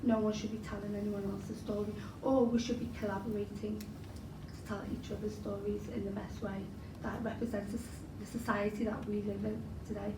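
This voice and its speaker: A woman's voice: medium pitch, little pitch movement, normal speed. A young woman with a Scouse accent, a poet answering interview questions in a chatty way with many fillers, whose first language was Arabic. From Liverpool, England. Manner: chatty, frequent fillers and you-knows. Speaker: interviewee, spoken word poet. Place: large event hall. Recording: roomy hall recorded from a distance with noise.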